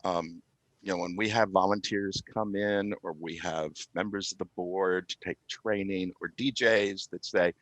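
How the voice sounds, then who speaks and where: A man's voice: low-pitched, steady tone, a little slow, fairly bright tone, no echo. A man explaining things as a guest in a podcast interview, talking over a video call. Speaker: podcast guest, community radio IT volunteer. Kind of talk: interview answers, explanatory chat. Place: remote call with virtual background.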